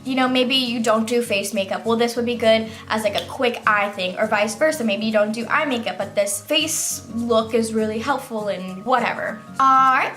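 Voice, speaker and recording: A woman's voice: on the high side, normal speed, hardly any pauses, a bit bright, faint music behind. A young woman walking through her everyday makeup routine in a loose, chatty way, her voice still sleepy from just waking up. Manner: chatty, loose, heavy use of "like". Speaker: beauty vlogger. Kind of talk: casual makeup tutorial.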